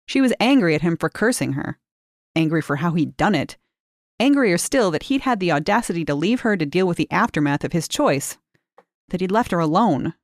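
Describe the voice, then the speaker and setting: A woman's voice: lowish voice, no room sound. Woman narrating a story in a dry booth. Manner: measured, expressive storytelling with lifted emphasis on key words. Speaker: reader of short fiction. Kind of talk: audiobook narration of a short story.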